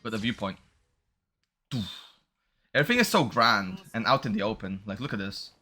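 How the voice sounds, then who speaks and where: A man's voice: fairly low pitch, expressive, moderate pace, pushed and bright. A man giving casual, unscripted commentary as he plays a video game, musing and thinking aloud. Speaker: streamer playing a video game.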